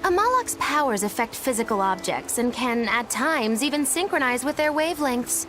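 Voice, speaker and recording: A mischievous video game witch. She is high-voiced and very animated, teasing and theatrically knowing, with a mocking flippancy over background music. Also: moderate pace. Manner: sly, mocking.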